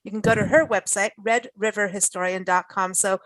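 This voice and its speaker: A woman's voice: mid-range voice, steady tone, fairly quick, fairly bright tone, no room sound. A woman hosting a radio talk show, chatting casually and enthusiastically with guests. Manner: bubbly, laughing, enthusiastic. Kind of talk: casual chat interview.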